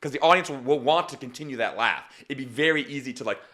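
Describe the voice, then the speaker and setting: A man's voice: medium pitch, quick pace, noisy background. A man coaching a comedian through a recorded set, speaking animatedly, acting out other ways to deliver the lines, and often repeating yeah. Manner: animated, acts out alternative deliveries; many repeated yeahs and restarts. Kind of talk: critique commentary over a set.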